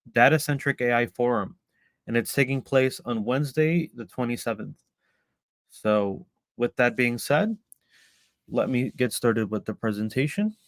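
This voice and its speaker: A man from El Salvador gives a live online talk, pausing often with ums and small restarts. His voice is smooth and clear. It sits at an ordinary pitch in a dry, close recording. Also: no echo. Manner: hesitant, many ums and restarts. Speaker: community founder presenting.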